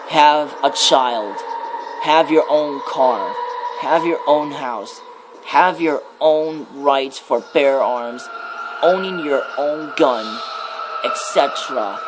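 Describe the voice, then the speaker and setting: Man as a slow, menacing demon of nightmares. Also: mid-range voice, background noise, natural voice. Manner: slow, ominous, deliberate, with drawn-out pauses. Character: menacing demonic embodiment of fear, death and darkness. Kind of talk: ASMR horror roleplay monologue.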